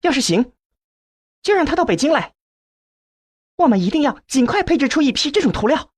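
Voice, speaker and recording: Man doing an urgent, high-pitched decisive woman. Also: sing-song, fairly quick. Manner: urgent, commanding.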